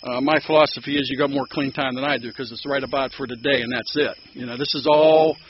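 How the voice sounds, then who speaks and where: A man's voice: medium pitch, steady tone. Older man with a Pittsburgh accent. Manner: rambling, halting, many ums and restarts. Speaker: recovery meeting speaker. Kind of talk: personal sharing at a support meeting. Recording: dull lo-fi recording with background noise.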